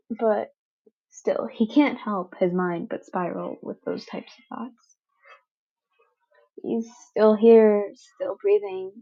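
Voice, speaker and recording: A woman reading fan fiction as a dramatic, brooding scripted narration with a halting delivery. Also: medium pitch, a little mellow. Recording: dull lo-fi home recording.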